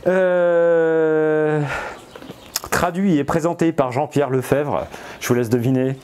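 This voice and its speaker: A man talks in a mellow, darker voice, stuffy from a cold. He rambles hesitantly with many fillers and restarts, breaking off for chatty asides to his viewers. Faint noise hums under the recording. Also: a little high, steady tone, slow. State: has a cold. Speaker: vlogger. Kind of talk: rambling casual vlog. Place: outdoors in spring.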